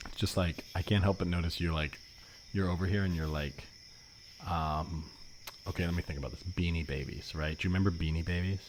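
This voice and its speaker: A man playing an earnest, rambling know-it-all economist in an improvised comedy podcast, explaining things in a halting way. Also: deep voice, steady tone, natural voice. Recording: faintly noisy remote podcast recording.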